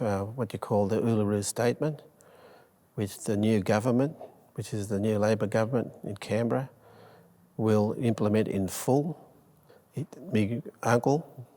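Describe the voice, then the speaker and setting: A man's voice: lowish voice, dark tone. An Australian man in his sixties talking reflectively in an oral history interview. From La Perouse, Sydney, Australia. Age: 60s.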